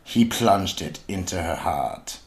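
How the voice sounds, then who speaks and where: Male narrator, radio mystery, ominous storyteller, slow pace, expressive pitch movement. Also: vocal fry.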